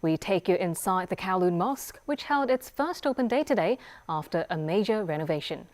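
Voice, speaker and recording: A woman's voice: on the low side, lively intonation, brisk. A woman anchor reading scripted television news.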